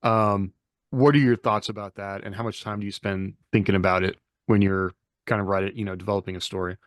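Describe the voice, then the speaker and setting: A man's voice: fairly low pitch, level tone, fairly quick, no echo, studio quality. A man moderating a casual panel discussion, musing in loosely strung phrases and putting questions to the others.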